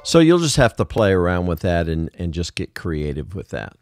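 Low-voiced man giving a software tutorial. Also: big pitch swings, moderate pace, over music. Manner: informal, explanatory, step by step. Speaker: music production tutorial host. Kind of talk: casual software tutorial narration.

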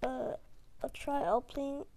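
A young girl talking in a hushed, often whispered voice late at night at home, with halting restarts. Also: high-pitched. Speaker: video uploader. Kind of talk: casual talk over a screen recording. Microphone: tablet mic.

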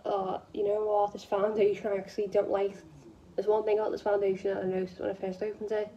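A young woman from the northwest of England vlogs casually with her regional English accent. Her voice is dark and mellow, and she rambles along with self-deprecating asides. It is a phone recording in a room at home, with faint noise behind her. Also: medium pitch, flat delivery, fast talker, lo-fi sound. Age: young adult. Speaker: vlogger. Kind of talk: casual chat to camera while doing makeup. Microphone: phone propped close in front.

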